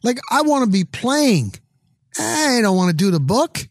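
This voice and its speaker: A man's voice: very high-pitched, very animated, measured pace, no echo, studio quality. A middle-aged man in casual sports chat, rambling along and repeating words for emphasis. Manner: chatty, rambling, emphatic repetition. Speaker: sports broadcaster.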